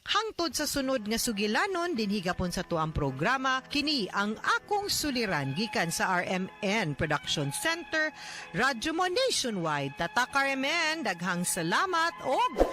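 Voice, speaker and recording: A woman's voice: fairly high pitch, very animated, measured pace, pushed and bright, faint music behind. A woman radio announcer delivering a polished program sign-off. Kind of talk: broadcast sign-off.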